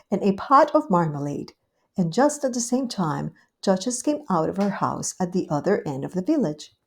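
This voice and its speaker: Gentle, mellow woman reading a storybook. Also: medium pitch, slightly rough. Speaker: storybook reader. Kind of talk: scripted narration of a children's story.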